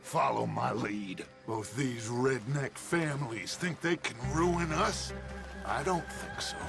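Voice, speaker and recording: An actor playing a commanding middle-aged American gang leader in a Western game, speaking with grandiose, emphatic authority. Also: male voice, mid-range voice, slow, gravelly. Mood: grim, controlled. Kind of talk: dramatic Western video game acting. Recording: loud music behind.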